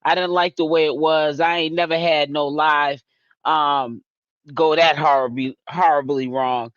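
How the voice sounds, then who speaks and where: Chatty live-stream host from Boston, man, high register, dull lo-fi audio. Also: steady tone, unhurried, a bit bright, no room sound. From Boston, Massachusetts. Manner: chatty, talks straight to viewers in chat. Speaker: YouTube commentary host. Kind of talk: casual live-stream commentary.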